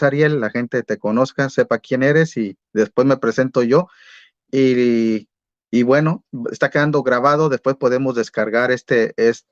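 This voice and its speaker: A man's voice: medium pitch, no echo. A man introducing and moderating a debate in an orderly, courteous way. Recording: dry, dull lo-fi audio.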